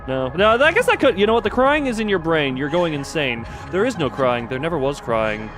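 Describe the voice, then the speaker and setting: A man's voice: on the high side, sing-song, a little fast. Man streaming a game over music. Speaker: livestream host. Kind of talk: livestream game commentary, reading story text aloud and chatting.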